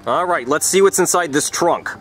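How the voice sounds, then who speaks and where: A man voicing a grumpy, sarcastic police officer who keeps breaking into excited yelps. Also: a little high, moderate pace, raised voice, very noisy, lightly acted. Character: grumpy sarcastic male cop.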